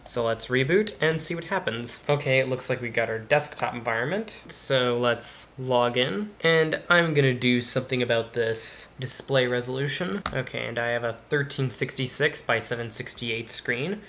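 A man's voice: mid-range voice, normal speed, hardly any pauses, fairly bright tone, slight background noise. Young man narrating a computer walkthrough. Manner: casual, step-by-step, talks through each click. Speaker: tech channel host. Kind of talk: screen-recorded tutorial narration. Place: bedroom studio with computer screens behind.

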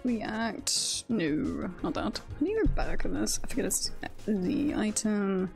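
A woman's voice: medium pitch, expressive, a little slow, gravelly, darkish tone. A woman livestreamer giving casual, jokey gameplay commentary, repeating short phrases and swearing now and then. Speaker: livestreamer, VTuber. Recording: game music behind the voice. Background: video game music.

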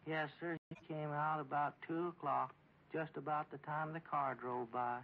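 A man's voice: on the high side, steady tone, normal speed, natural voice. An actor playing a meek, simple, dutiful small-town man with a rural Southern accent, reporting earnestly and hesitantly in a 1940s radio comedy. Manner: deferential, literal, hesitant. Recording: muffled old radio recording.

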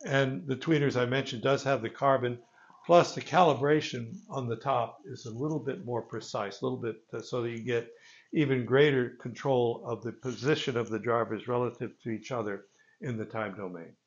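Gravelly older man presenting a product. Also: medium pitch, fairly even intonation, moderate pace. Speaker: loudspeaker company presenter. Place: carpeted listening room with stone wall, indoors. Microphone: phone camera a few meters away. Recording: dull, lo-fi phone recording.

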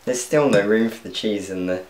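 A man's voice: lowish voice, moderate pace. Self-mocking man cooking at home. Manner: self-deprecating, chatty, laughs at his own mishaps. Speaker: cooking vlogger. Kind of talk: casual cooking commentary. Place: home kitchen. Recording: echoey kitchen, faint clatter of pans.

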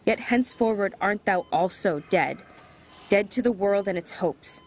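A woman's voice: medium pitch, expressive, normal speed, a little mellow. A woman voicing a wayward teenage girl who narrates and talks to an old man, hesitant and apologetic in scenes, in an audio drama.

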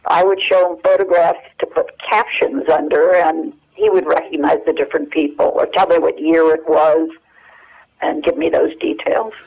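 An American woman in her eighties reminiscing casually and haltingly as an interview guest over the telephone. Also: sits low, fairly even intonation, vocal fry, breathy edge. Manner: halting, searching for memories. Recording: dull, lo-fi telephone hook-up.

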